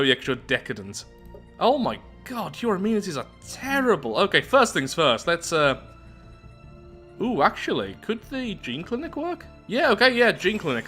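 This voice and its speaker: A man's voice: a little high, sharp tone. A man giving casual commentary on a strategy game playthrough, thinking aloud as he goes. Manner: thinking aloud, musing to himself.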